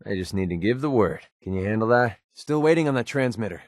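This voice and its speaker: An actor playing a cynical, wisecracking young mercenary in a video game, with a sardonic, casual, streetwise delivery. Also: male voice, lowish voice, animated, quick pace, no room sound, clean studio sound, natural voice. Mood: businesslike. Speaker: video game companion character. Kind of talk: video game voice acting, short scripted barks.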